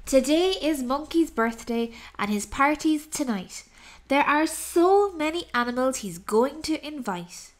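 Animated, high-voiced woman reading a storybook. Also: a little slow. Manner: expressive, dramatic emphasis. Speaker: storybook narrator. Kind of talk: children's read-aloud narration, rhyming.

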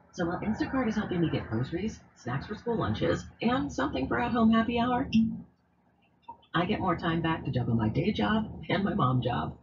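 A woman reading a scripted commercial voice-over, brisk, upbeat and chatty. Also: fairly low pitch, lo-fi sound, background noise.

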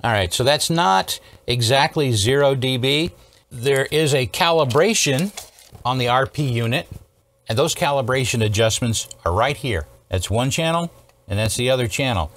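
A man's voice: mid-range voice, animated, moderate pace, a bit bright, slight background noise. Older man explaining a technical demonstration. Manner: methodical, explanatory, often checking in with "okay". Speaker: host, electronics restorer. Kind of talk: casual hands-on technical demonstration. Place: indoor workshop studio at a workbench.

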